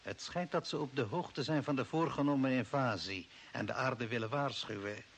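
A man's voice: medium pitch, normal speed, lightly acted. An actor playing a cold, authoritarian old man from Earth who serves the Martians in an older Dutch radio play, commanding and scornful. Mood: cold, firm. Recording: hissy, noisy old radio recording.

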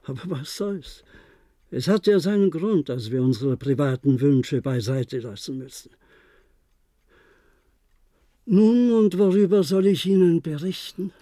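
An actor in a German radio play, playing an older, resigned former diplomat who reminisces in a measured, formal way. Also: male voice, on the high side, lively intonation, mellow, dry recording, clean studio sound, natural voice. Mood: understanding, regretful. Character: resigned, reflective former diplomat, aging bunker survivor.

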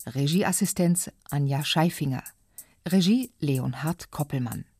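A woman with a low, mellow, slightly husky voice reads out radio play credits slowly and evenly. The recording is dry and close, with faint music behind. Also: dry recording. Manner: calm, even credit reading. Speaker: announcer.

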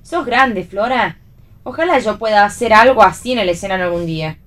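A woman's voice: medium pitch, animated, a little fast, fairly bright tone, far from the mic, natural voice. A woman with an Argentine accent playing a flippant, theatrical young bohemian actress in a radio play reading, teasing and dramatic. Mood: mock-admiring.

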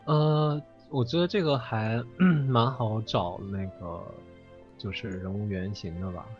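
A man speaking as a guest in a casual panel chat, explaining things haltingly. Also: on the low side, dull audio. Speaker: interview guest, voice drama performer. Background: faint music.

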